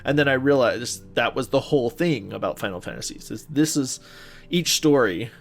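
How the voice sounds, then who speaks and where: A man co-hosting a podcast, chatting casually and a little haltingly, often feeling his way through his thoughts. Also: mid-range voice, gravelly. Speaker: podcast co-host. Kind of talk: casual chat about movies and video games.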